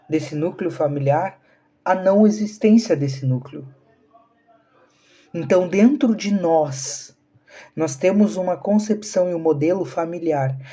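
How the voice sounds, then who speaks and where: A man's voice: fairly high pitch, mellow, husky. A man, talking earnestly to viewers on a live stream and asking rhetorical questions. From southern Brazil. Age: 30s. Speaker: spiritual channel host.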